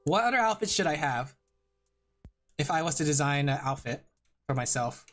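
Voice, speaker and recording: A male vtuber who chats loosely with his audience while he draws. He rambles, laughs often and makes fun of himself. Soft music plays faintly behind him. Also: a little high, lively intonation, normal speed. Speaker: vtuber streamer. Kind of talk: casual livestream chat while drawing.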